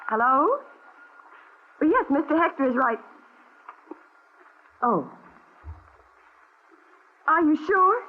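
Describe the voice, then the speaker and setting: An actress playing a cool, cautious accomplice to a plot boss in vintage radio acting style. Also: female voice, sits high, sing-song, slow pace, pauses often, slight background noise, exaggerated voice. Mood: wary, businesslike. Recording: tinny old radio broadcast.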